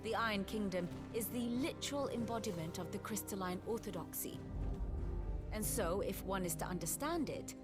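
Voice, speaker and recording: A woman's voice: mid-range voice, lively intonation, close to an everyday voice. A woman playing a wry, learned scholar. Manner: measured, lecturing, precise. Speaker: scholar explaining history. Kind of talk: video game cutscene acting. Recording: loud score music underneath. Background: loud orchestral music.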